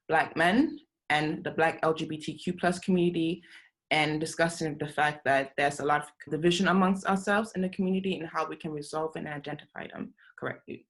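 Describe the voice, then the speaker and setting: A woman's voice: low voice, low-quality recording. A woman hosting a group discussion on a video call, welcoming guests and asking questions. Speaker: host.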